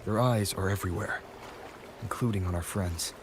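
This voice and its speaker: A man playing a serious, guarded, level-headed hero in a video game, speaking in a measured, firm, matter-of-fact way. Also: low voice, noisy background, close to an everyday voice.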